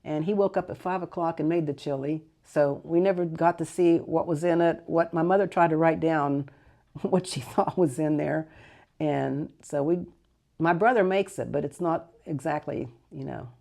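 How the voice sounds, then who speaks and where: Older woman reminiscing, low gravelly voice. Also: moderate pace. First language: Greek. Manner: rambling, fond recollection with soft laughs and self-corrections. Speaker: interviewee. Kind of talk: casual reminiscing interview.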